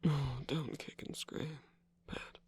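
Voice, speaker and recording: A performer of unclear sex voicing a seductive villain lover in an intimate roleplay ASMR, teasing and protective, with a husky, breathy, often whispered voice. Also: medium pitch, fairly even intonation, slow pace, darkish tone. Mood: playfully teasing.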